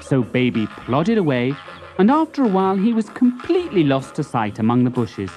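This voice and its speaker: A woman reading a children's story expressively and deliberately, with dramatic pauses. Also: sits low, huge pitch swings, music in the background.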